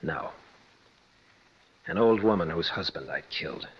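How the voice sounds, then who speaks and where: An actor playing an earnest, desperate young condemned prisoner, speaking urgently and confessionally in mid-century radio drama style. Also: male voice, low-pitched, expressive, measured pace, breathy edge, full character voice. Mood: remorseful. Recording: dull, hissy old radio recording.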